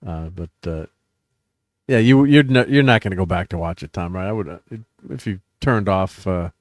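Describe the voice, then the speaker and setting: A man's voice: low voice, moderate pace, no echo, studio quality. A middle-aged man hosting a casual chat show, rambling with many fillers and restarts. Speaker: host.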